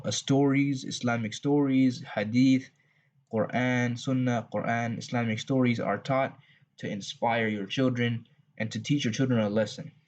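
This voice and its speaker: Texan male host, mid pitch, husky voice, loose rambling delivery, dull home recording. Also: darkish tone. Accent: Southern US, Texas. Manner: rambling, restarts, frequent asides. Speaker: religious channel host. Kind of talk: unscripted advice talk.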